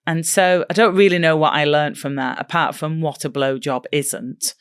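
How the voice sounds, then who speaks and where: A woman's voice: low voice, steady tone, moderate pace, smooth, dry recording, studio quality. A middle-aged British woman co-hosting a podcast, telling jokey, self-deprecating anecdotes in casual conversation. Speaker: podcast co-host. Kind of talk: casual chat, humorous anecdotes.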